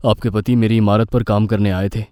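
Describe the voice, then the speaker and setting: A man voicing a kind, sympathetic building owner in an animated moral story, with a gentle, solemn and polite way of talking. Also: fairly low pitch, brisk, dry recording, clean studio sound, close to an everyday voice.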